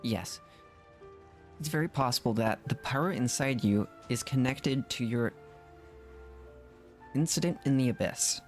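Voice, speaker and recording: A game streamer with a mid-pitched voice, chatting casually and offhandedly. Music plays behind the live commentary. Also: unhurried, long pauses. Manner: offhand, chatting over the game's dialogue.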